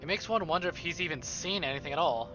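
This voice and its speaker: A male streamer reading visual novel narration aloud in an expressive, dramatic way, with casual asides. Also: on the high side, quick pace, highs cut off. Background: game music.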